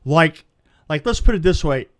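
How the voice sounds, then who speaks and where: A man's voice: a little high, animated, moderate pace. A man telling a casual anecdote as a film crew guest, stammering and repeating words as he goes.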